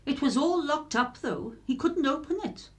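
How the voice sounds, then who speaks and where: A woman plays a practical housewife in a radio drama. She is brisk, fairly high in pitch and very varied in tone. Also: expressive.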